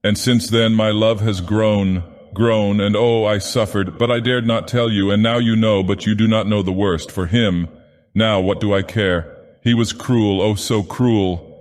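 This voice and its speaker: A man's voice: fairly low pitch, steady tone, measured pace, pitched above the performer's own voice, natural voice. A man voicing a distraught young woman, pleading in a strained, trembling voice. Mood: anguished. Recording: long reverb.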